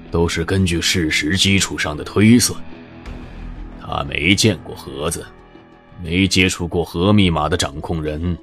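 An actor playing a cryptic shadowy mentor who speaks in slow, measured explanations in an audio drama. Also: male voice, low-pitched, fairly bright tone.

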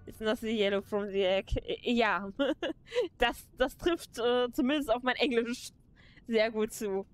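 A woman's voice: fairly high pitch, measured pace, smooth, faint music behind. A young woman streaming a game from her room, chatting casually and giggling often. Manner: giggly, laughs often between remarks. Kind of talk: casual gameplay livestream commentary.

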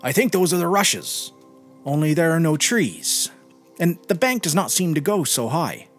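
A man's voice: on the high side, sing-song, moderate pace, soft background music, voice pushed up. A man voicing an inquisitive young seafarer in a story reading, questioning and insistent. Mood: searching.

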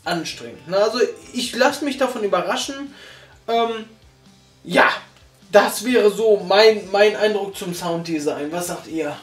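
A reviewer hosting a casual couch chat, talking haltingly with many restarts and tag questions. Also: mid-range voice. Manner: halting, restarts a lot, tags remarks with "ne?". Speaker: reviewer, host. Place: small living room, on a couch. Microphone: far camera mic. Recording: roomy, distant mic, faint music.

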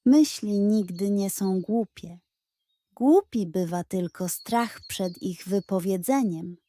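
A woman voicing a kindly, gentle female duck who runs a café in a children's story. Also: medium pitch, takes it slowly, lightly acted. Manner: gentle, encouraging.

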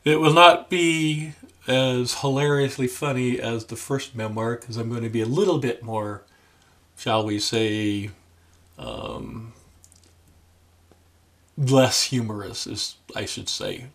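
An older man, around his sixties, talking casually to the camera in a deliberate, halting, dry manner. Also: medium pitch, fairly even intonation, fairly bright tone. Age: older, about 60s. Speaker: memoir author addressing viewers. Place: small room at home, indoors.

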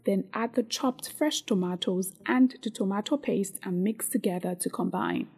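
Female cooking host, soft dark tone with some huskiness, scripted instructional delivery straight to the viewer. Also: medium pitch, normal speed, husky, soft background music. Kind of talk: scripted cooking tutorial narration.

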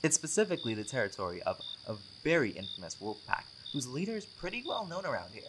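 A man acts out a centuries-old vampire with an easygoing, teasing charm. His pitch moves in lively swings as he chats casually, as if to a friend in an audio roleplay. Clear night noise of crickets and insects sits behind him. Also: quick pace, close to an everyday voice. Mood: easygoing, intrigued. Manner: joking, teasing, often chuckling.

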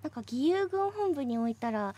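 A woman's voice: sits high, big pitch swings, normal speed, dark tone. A woman co-hosting a radio show, presenting and chatting casually. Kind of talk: presenting and casual studio chat.

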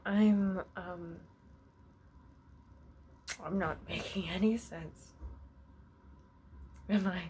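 A woman performing a stage monologue as an earnest, anxious young female musician, wistful and self-doubting, halting and reflective with long pauses. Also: medium pitch, steady tone, a little mellow, a little hiss or hum. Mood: wistful, lost.

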